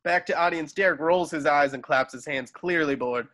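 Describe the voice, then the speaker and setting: A man reads out stage directions as narrator of an online table read. His voice sits a little higher than most men's and is picked up over a video call. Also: normal speed, fairly bright tone.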